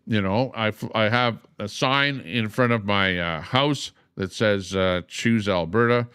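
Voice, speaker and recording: A man's voice: fairly low pitch, unhurried, fairly bright tone, clean tone, no room sound, studio quality. An older man hosting a livestream, explaining at length with frequent "uh"s and a repeated "okay?". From Canada. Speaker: livestream host. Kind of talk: casual livestream monologue.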